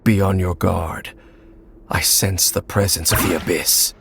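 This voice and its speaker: A man's voice: sits low, fairly even intonation, measured pace, gravelly, noisy background. A man playing a grave, stoic wandering warrior in a video game, solemn and commanding in manner. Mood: wary. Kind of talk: video game character acting.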